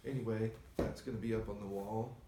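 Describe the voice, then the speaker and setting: A soft-spoken man co-hosting a casual chat in a record store, talking in a low-key, rambling way. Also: steady tone, brisk, background noise. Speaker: record store owner co-host. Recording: echoey store, mic at a distance.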